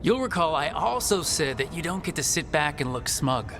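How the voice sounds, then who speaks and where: An actor playing an earnest young human mage hero in a video game, reflective and determined. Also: male voice, fairly high pitch, very animated, normal speed, a bit bright. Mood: firm.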